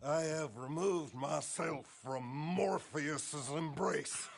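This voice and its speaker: An actor playing a drunken, maudlin Old West reverend in a video game, declaiming floridly. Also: male voice, on the high side, slow pace, gravelly, full character voice. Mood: grandiose, drunk. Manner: slurred, florid, theatrical declaiming. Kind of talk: western video game acting.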